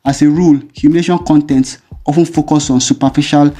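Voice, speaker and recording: A man hosting a commentary channel, reading a measured, explanatory script. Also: a little high, fairly even intonation, dark tone. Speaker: video essay host.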